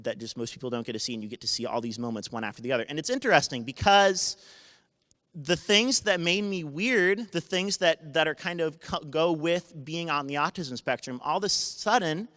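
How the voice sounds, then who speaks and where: A man in his twenties with a naturally loud voice, telling a personal story live to an audience, halting and often restarting his sentences. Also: fairly high pitch. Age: 20s. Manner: halting, with many restarts and self-corrections. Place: university event space. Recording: live talk with long hall reverb.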